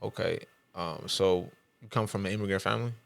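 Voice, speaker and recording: Deep low guy with a gravelly edge, laid-back slangy podcast host. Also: steady tone, brisk, no room sound. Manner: laid-back, slangy, leans on "you know what I mean". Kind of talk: casual podcast interviewing. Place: podcast studio.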